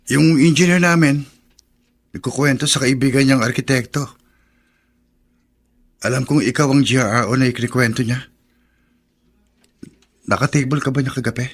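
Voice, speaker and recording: An actor playing a kind, protective young neighbour in a Filipino radio drama, earnest and a little hesitant. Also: male voice, mid-range voice, moderate pace, long pauses, slightly rough. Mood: concerned.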